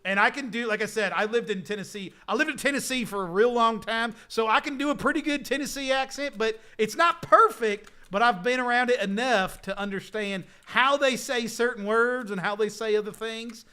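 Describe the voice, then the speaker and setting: A Midwestern American man streaming in loose, rambling chat, often starting his sentences over. His voice is bright and sits high. He chuckles between thoughts. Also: fairly quick, hardly any pauses, smooth. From United States. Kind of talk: casual live-stream chat.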